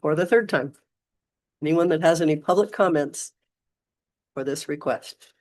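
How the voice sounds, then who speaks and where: A woman chairing a county commission meeting, hoarse and losing her voice, moving things along with brisk procedural phrasing. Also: low voice, a little mellow.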